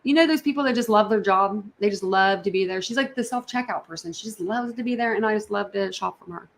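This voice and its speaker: Female livestream host, medium pitch, casual reaction chat, rambling and repetitive, addressing viewers directly. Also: quick pace, creaky, a little hiss or hum.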